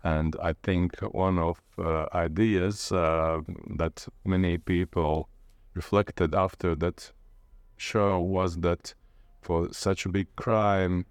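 A man with a Latvian accent, a native Latvian speaker, talking reflectively in an interview and haltingly searching for words. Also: sits low, fairly even intonation, smooth, no echo, clean studio sound. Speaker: interviewee, literature teacher and playwright.

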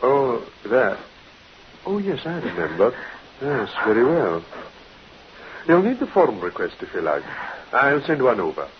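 A man's voice: medium pitch, sing-song, a little slow, long pauses. A man playing a matter-of-fact doctor in vintage radio drama, businesslike and dryly reassuring. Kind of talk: 1950s radio drama acting. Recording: muffled old radio recording with hiss.

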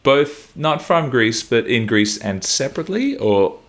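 A man's voice: mid-range voice, very animated, unhurried, a bit bright. A man co-hosting a podcast in casual, joking, teasing banter over an internet call. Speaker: podcast co-host. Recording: below-par remote call, crowded background noise.

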